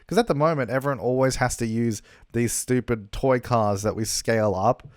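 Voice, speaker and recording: A man's voice: mid-range voice, moderate pace, clear, resonant tone, dry recording, studio quality. Chatty young man, slightly worn voice. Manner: chatty and rambling, with frequent fillers and laughs. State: losing his voice a little. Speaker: gaming YouTuber. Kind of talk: casual gameplay commentary. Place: hotel room. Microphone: travel recording setup.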